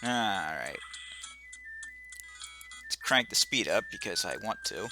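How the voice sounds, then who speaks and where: A man's voice: fairly low pitch, animated, slow, music in the background. A man playing a video game, making sarcastic remarks and reading the game text aloud, flubbing words now and then. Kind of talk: casual gameplay commentary and reading game text.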